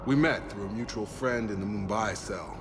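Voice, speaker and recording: Man acting a low-voiced loyal soldier, noisy setting. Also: moderate pace, natural voice. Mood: reflective, warm. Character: loyal, stubborn male soldier, injured but determined. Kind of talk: video game cutscene acting.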